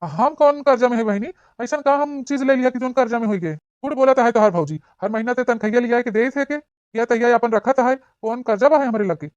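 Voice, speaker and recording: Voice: medium pitch, a little fast, dry recording, lo-fi sound, close to an everyday voice. A cartoon voice playing an indignant, hot-tempered village husband and elder brother, scolding and questioning in an Awadhi accent from eastern Uttar Pradesh. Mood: outraged. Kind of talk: cartoon comedy dialogue.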